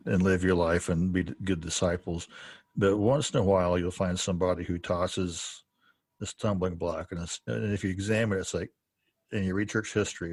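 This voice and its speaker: A man hosting a podcast in casual conversation, informal and halting in his delivery. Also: low-pitched, husky, no room sound. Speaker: podcast host.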